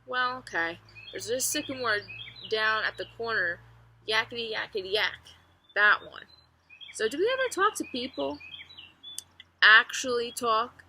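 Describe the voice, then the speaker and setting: A woman's voice: a little high, lively intonation, unhurried, long pauses, bright, forceful tone, heavily acted. A woman voicing a friendly, proud oak tree with wry, chatty humour in a children's storybook read-aloud. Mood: playful, confiding. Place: outdoors among trees. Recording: outdoor ambient noise, faint birdsong.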